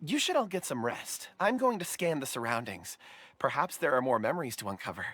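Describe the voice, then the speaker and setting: An actor playing a soft-spoken, polite and wistful young man who is fond of rocks. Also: mid-range voice, sing-song, brisk, dry recording. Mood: gentle.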